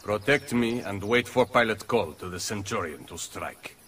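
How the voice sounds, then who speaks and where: A man's voice: lowish voice, lively intonation, moderate pace, a bit bright, slight background noise. A man playing a cold, obedient killer in a video game, speaking with sinister deliberation. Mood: cold obedience. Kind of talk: dramatic video game voice acting.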